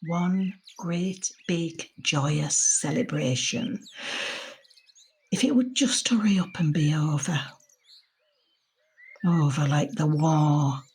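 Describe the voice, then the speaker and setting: An actress playing a lonely, anxious woman in her seventies in an intimate audio drama monologue, husky and confiding, often putting questions to herself. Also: deep voice, slow, long pauses, darkish tone, slight background noise. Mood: wistful. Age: 70s. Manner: reflective, confiding, with rhetorical questions. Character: lonely, anxious elderly mother shielding at home in lockdown.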